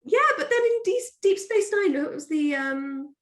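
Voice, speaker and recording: A woman's voice: high voice, animated, normal speed. A woman speaking as a podcast guest in a casual, chatty, hedging way, recorded in an echoey room. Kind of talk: casual chat.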